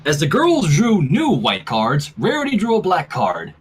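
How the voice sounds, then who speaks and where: A man's voice: a little high, measured pace, breathy edge, faint music behind. A man reading a story aloud expressively, acting out dialogue between casual remarks as a stream co-host. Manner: expressive, acts out dialogue while narrating. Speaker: fanfic reader and stream co-host. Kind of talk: animated storytelling read aloud with casual chat.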